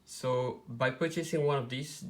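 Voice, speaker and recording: A man presents a hands-on tutorial in an informal style. His voice sits at an average pitch. He explains step by step, somewhat haltingly, with plenty of pauses. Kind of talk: informal step-by-step product demonstration.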